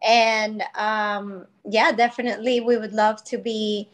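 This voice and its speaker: A woman's voice: medium pitch, little pitch movement, measured pace. A woman in her thirties from Colombia, a podcast guest telling her story in a chatty, grateful way with many fillers. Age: 30s. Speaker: guest, wellness brand founder. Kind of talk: podcast interview, personal story.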